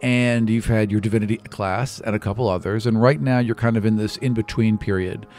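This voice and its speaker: A man in his thirties runs a tabletop game, narrating casually and making it up as he goes. His voice is fairly low, on a close microphone, with music behind. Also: steady tone, a little fast, a little mellow. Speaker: game master. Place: small indoor studio room. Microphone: close podcast microphone on stand.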